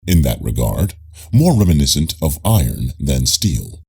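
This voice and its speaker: A man narrates nonfiction in a low, husky voice. His reading is formal and measured, as in an audiobook, yet the pitch moves in a lively way across each sentence.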